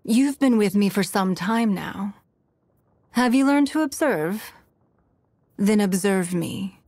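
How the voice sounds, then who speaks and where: A woman voicing a poised, calculating, wealthy noblewoman in a fantasy video game, speaking in a measured, formal and haughty manner. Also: medium pitch, a little mellow, dry recording, studio quality. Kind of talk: video game character voice lines.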